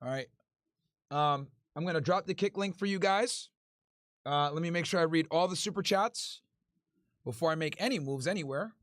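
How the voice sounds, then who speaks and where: Casual male streamer, slightly high voice, relaxed and crude, dry close mic. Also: moderate pace, pauses often, dry recording. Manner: laid-back, crude slang, tired, joking. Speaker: livestream host. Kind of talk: casual livestream chat reading super chats.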